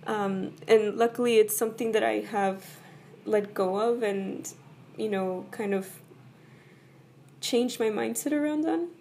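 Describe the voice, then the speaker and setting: Hoarse woman chatting about growing up. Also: medium pitch, measured pace, warm tone, slight background noise. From El Paso, Texas, USA. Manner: conversational, full of "you know" and "right?". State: hoarse from a cold. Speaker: vlogger. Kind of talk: casual personal talk to camera.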